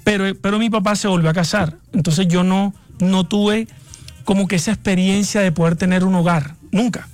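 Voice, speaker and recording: A man's voice: high-pitched, fairly even intonation, normal speed, a little mellow, dry recording, faint music behind. A man in his thirties with a Barranquilla costeño accent, a singer talking as a guest in a radio interview, often repeating words while he searches for them. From Colombia, raised in Barranquilla.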